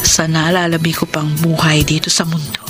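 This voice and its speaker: An actress with a Filipino accent playing a repentant, gentle, hopeful woman in a sentimental radio drama. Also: deep voice, flat delivery, moderate pace, lightly acted. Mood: tender, reflective. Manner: earnest, sentimental. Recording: prominent music bed under radio drama.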